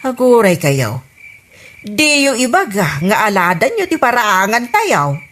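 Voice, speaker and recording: A woman's voice: mid-range voice, very animated, unhurried, a bit bright. A woman with an Ilocano accent playing an exasperated, nosy neighbourhood woman in a comedy radio drama.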